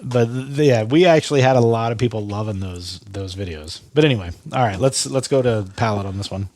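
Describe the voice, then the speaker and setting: A man's voice: on the low side, brisk, a little mellow, dry recording. A man co-hosting a podcast, talking loosely and circling back on himself as he tells stories. His voice is a touch stuffy and nasal today. The recording is dry and close. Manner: rambling storyteller, many restarts and laughs. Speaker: podcast host. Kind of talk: casual tasting chat.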